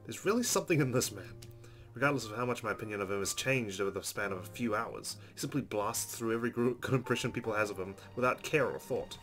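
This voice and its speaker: A man's voice: fairly low pitch, expressive, fast, fairly bright tone. A man hosting a game playthrough, reading the story narration aloud expressively. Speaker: let's play host reading game narration. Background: game music.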